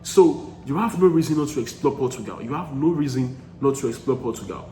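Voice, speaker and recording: A man's voice: medium pitch, lively intonation, a little fast, dark tone. A man giving study-abroad advice in a casual talk, earnest and emphatic, repeating key phrases for stress. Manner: frequent restarts and fillers, earnest. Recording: echoey room with faint background noise.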